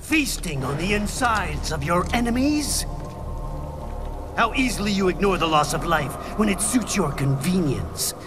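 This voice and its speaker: A man's voice: high-pitched, lively intonation, measured pace. An actor playing a menacing male cyborg villain who lectures his enemy in a taunting, theatrical way in a video game cutscene. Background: loud game soundtrack music.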